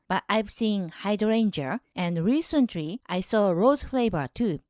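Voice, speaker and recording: A woman from Japan, hosting her own channel in calm, measured scripted narration. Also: mid-range voice, no echo, clean studio sound. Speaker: host.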